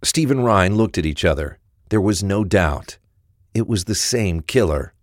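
A male narrator tells a scripted crime story. His voice is very low, and the recording is close and free of echo. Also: lively intonation, a little slow.

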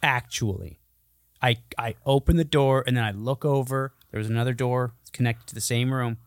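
A man's voice: mid-range voice, fairly even intonation, moderate pace, no room sound. A man co-hosting a podcast in casual chat, swearing freely and joking crudely. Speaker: podcast co-host.

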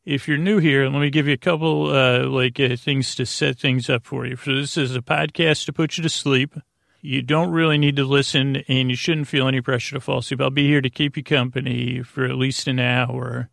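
Meandering man with a gravelly, creaky voice. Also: medium pitch, fairly even intonation, a bit bright, no echo, clean studio sound. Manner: meandering, halting, self-interrupting with tangents. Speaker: podcast host. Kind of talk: rambling bedtime monologue to help listeners sleep.

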